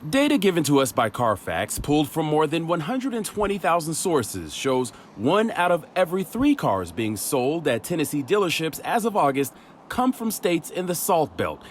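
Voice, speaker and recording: A man's voice: fairly high pitch, very animated, normal speed, slight background noise. A man reading a scripted TV news report as a reporter.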